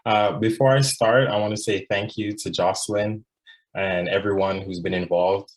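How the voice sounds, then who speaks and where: A young man in his twenties, originally from Jamaica, speaks in a fairly low voice. He sounds earnest and reflective, pausing often with "um" and "like" as he talks through a personal presentation. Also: fairly even intonation, rough recording. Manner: earnest, reflective, frequent "like" and "um" fillers. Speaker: law student scholar.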